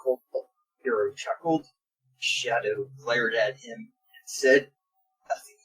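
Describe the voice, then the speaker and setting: A man reading along and joking casually in a group, halting and incredulous as he goes. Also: mid-range voice, fairly even intonation, fairly bright tone, slightly rough, soft background music, low-quality recording. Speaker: reader on a bad fanfiction show.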